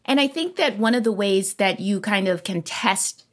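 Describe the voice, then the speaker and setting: A woman in her forties hosting a casual conversation, enthusiastic and animated as she tells stories. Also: mid-range voice, normal speed. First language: English. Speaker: podcast host.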